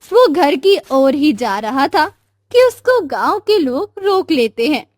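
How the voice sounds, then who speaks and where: Female storyteller for a moral tale: very high voice, slow and lively, over music. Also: dry recording, studio quality. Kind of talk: scripted moral story narration. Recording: music under the voice. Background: background music.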